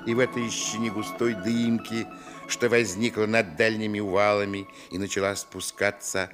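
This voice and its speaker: A man acting as the reflective narrator of a radio play, recalling a village boyhood in lyrical, measured storytelling. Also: fairly low pitch, lightly acted. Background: music.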